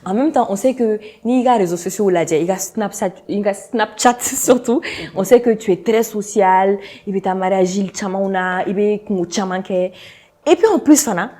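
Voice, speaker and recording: A woman's voice: medium pitch, normal speed, dark tone. A woman with a Malian accent, chatting effusively and full of compliments as the host of a casual celebrity cooking show. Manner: effusive, complimentary, often laughing. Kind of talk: casual celebrity chat interview.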